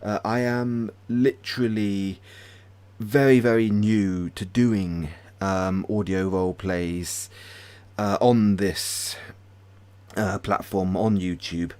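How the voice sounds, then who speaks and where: A British man hosting a casual livestream, chatting hesitantly with many pauses and restarts. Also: on the low side, fairly even intonation, a little mellow, smooth. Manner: hesitant, lots of ums and restarts, friendly. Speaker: ASMR channel host.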